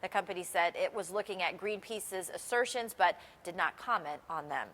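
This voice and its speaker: A woman's voice: lowish voice, normal speed, a bit bright, in a large hall. An American woman working as a TV morning news anchor, reading scripted stories in a broadcast delivery.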